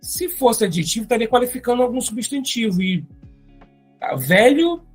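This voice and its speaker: Husky brazilian teacher going over grammar exercises, faint music behind. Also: medium pitch, very animated, moderate pace. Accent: Brazilian Portuguese. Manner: explains step by step, often adding tag questions. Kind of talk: exam-prep grammar lesson.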